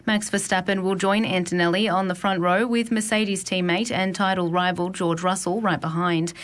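A woman reading a scripted radio news bulletin in a crisp, even manner. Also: fairly low pitch, normal speed. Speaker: news reader.

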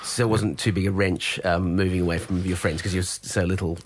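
A man's voice: low-pitched, level tone, fairly quick, husky. A man hosting an interview, asking curious follow-up questions and giving brief encouraging prompts. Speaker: interviewer host. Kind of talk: conversational interview.

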